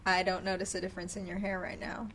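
A woman's voice: fairly low pitch, monotone, a bit bright, slight background noise. Teasing female podcast co-host. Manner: teasing, quick to laugh. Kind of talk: casual podcast chat. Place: indoors, at the guest's home.

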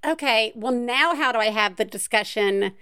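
A woman from the Deep South hosting a podcast, chatting casually with lots of fillers and laughs. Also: moderate pace, pushed and bright, clear, resonant tone, no echo, clean studio sound. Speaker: podcast host. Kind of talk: casual interview chat.

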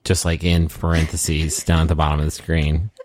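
A man co-hosting a podcast, joking and swearing, his voice gravelly after a busy stretch of performing. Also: completely flat delivery, moderate pace, darkish tone, no room sound, clean studio sound. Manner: sweary, jokey, breaks into falsetto singing. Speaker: podcast co-host. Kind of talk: casual recap chat.

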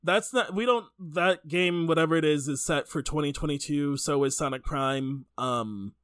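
A man's voice: fairly high pitch, moderate pace, a bit bright, clear, resonant tone, dry recording, studio quality. A man co-hosting a podcast, talking casually about video games in a rambling, stop-start way. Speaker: podcast co-host.

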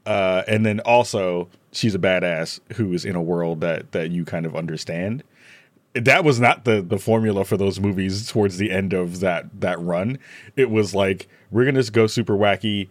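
A man with a fairly low voice talks casually in a panel discussion, recorded close and dry. His delivery is rambling and jokey, with animated emphasis as he works through his thoughts. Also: normal speed, no echo.

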